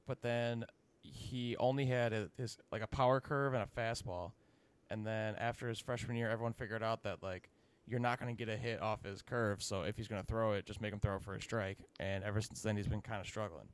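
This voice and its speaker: A young man with a fairly low voice banters loosely on a casual sports podcast. He jokes around and scatters fillers through his sentences. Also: clean tone. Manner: loose, joking, with many likes and fillers. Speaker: podcast co-host.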